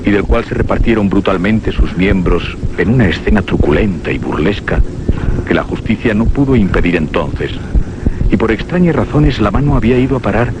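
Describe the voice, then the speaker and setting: A man's voice: animated, normal speed. A man narrating a scripted radio horror story in a solemn, dramatic manner. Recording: loud windy rumbling background noise.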